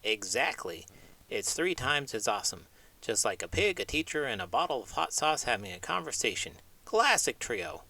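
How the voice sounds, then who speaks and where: A man's voice: mid-range voice, sing-song, moderate pace, pushed and bright, exaggerated voice. A man voicing a cheeky, boastful pig obsessed with food, wisecracking in a comic children's read-aloud skit. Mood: boastful, playful. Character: cheeky hot-sauce-obsessed pet pig, brashly confident.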